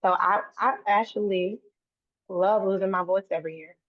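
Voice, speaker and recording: A woman's voice: medium pitch, steady tone, moderate pace, no room sound. A woman guest talking earnestly in a casual interview, restarting and repeating phrases as she goes. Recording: dry, tinny phone-like line.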